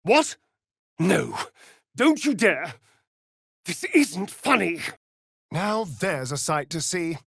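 A man's voice: sits high, very animated, takes it slowly, vocal fry, pauses often, husky, no room sound, studio quality, exaggerated voice. An actor playing a sardonic, vain male vampire rogue in a video game, with a British accent and an arch, drawling, theatrical delivery. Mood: indignant, exasperated. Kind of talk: video game character voice lines.

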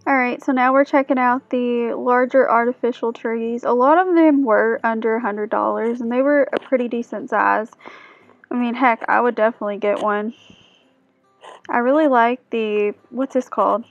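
A young woman chats through a shopping vlog, often turning to viewers with questions. Her voice sits a little high. It sounds dry and a bit dull, with faint music playing behind it. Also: steady tone, normal speed, dry recording. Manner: chatty, asks viewers questions. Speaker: vlogger. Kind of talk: casual shop-with-me voice-over.

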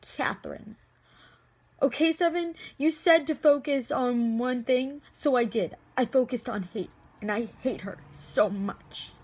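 A woman performing lovestruck young drama heroine, anguished, high voice, slow halting phrases, emotional monologue. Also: darkish tone, slightly rough. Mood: bitter, accusing. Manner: emotional, halting delivery with repeated words.

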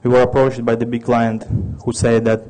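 A man's voice: medium pitch, completely flat delivery, normal speed, mellow, poor audio. A man in his thirties from Ukraine with a Russian accent, giving a technical conference talk with many restarts and fillers. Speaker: conference speaker.

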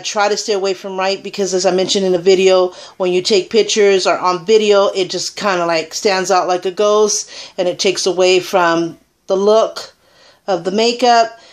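A Puerto Rican woman in her thirties, chatting her way through a casual makeup tutorial into a webcam in a small room, with plenty of ums. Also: medium pitch. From Puerto Rico. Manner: chatty, rambling, filler-heavy. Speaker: beauty vlogger. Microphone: webcam at arm's length.

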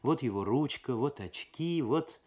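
A man's voice: medium pitch, expressive, a little mellow. Man reading as an earnest young museum guide woman. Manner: halting but earnest.

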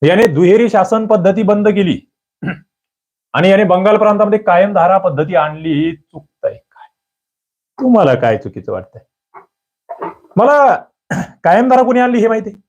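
A man teaching an exam prep lesson, quizzing his listeners with lively questions, then answering them himself. He often clears his throat. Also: sits high, moderate pace, pauses often, dull audio. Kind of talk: quiz-style exam prep lecture.

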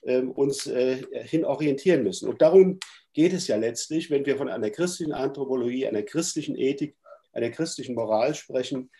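A man's voice: medium pitch, fairly quick, warm tone. Man with a cold, lecturing on a video call. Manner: thoughtful, many hesitations. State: stuffy with a cold. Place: home room, indoors. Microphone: webcam on a video call.

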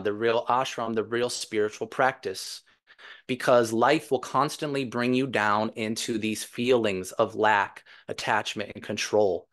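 A man in his forties with a fairly low voice speaks as a spiritual teacher. He is earnest and deliberate, circling back to repeat key phrases so that they land. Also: fairly bright tone. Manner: earnest, deliberate, emphatic repetition. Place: indoors, seated before a virtual backdrop.

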